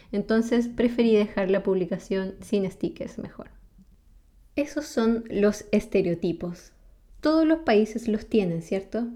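A woman's voice: mid-range voice, dark tone. A woman from Chile with a Chilean accent, explaining ideas in a friendly way. She often asks the listener questions and laughs between points. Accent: Chilean Spanish. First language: Spanish. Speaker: vlogger. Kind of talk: explanatory talk to camera.

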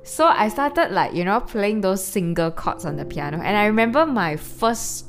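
A woman in her early twenties with a low voice, hosting a rambling, casual personal monologue full of fillers and restarts. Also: expressive, moderate pace, slight background noise. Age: early 20s. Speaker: podcast host. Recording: background music clearly audible.

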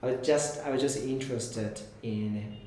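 A man's voice: medium pitch, normal speed, mellow. The guest at a casual interview in a bare, heavily echoing room with a faint hiss of noise, a Japanese-accented man feeling for his words. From Tokyo, Japan. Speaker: interview guest, startup investor.

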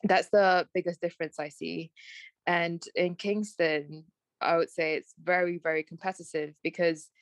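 Young adult Malaysian woman, mixed transatlantic accent, lowish voice, dry close sound, rambling guest. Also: little pitch movement, normal speed, no room sound. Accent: Malaysian with British and American traces. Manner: rambling, many "like" and "um" fillers, self-restarts. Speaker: guest, fashion graduate. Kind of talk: casual podcast interview.

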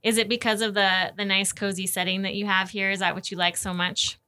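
A woman's voice: mid-range voice, little pitch movement, a little fast, pushed and bright. A woman hosting a casual podcast interview in a chatty, agreeable way.

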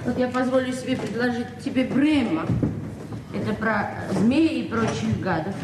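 A naive, gently insistent librarian in her forties played by a woman, with a fairly high voice and hesitant, plain speech. It is radio theatre, recorded distantly in an echoey space under clear noise. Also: moderate pace, natural voice. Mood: gently chiding. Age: 40s. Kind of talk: Soviet stage-style radio drama acting.

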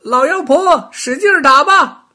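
A shrill, sly little rabbit. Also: male voice, moderate pace, husky, exaggerated voice. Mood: shouting. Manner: shrill, excited calling.

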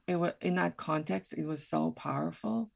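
A woman, a guest on a podcast, talking reflectively and haltingly in a casual conversation. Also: on the low side, vocal fry. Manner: halting, reflective, many fillers and restarts.

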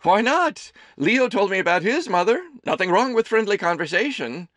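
A man voicing a doting, gossipy, middle-aged mother who runs a diner, loud and theatrical. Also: very animated, moderate pace, no room sound, clean studio sound. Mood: teasing. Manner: loud, theatrical, high chirpy voice.

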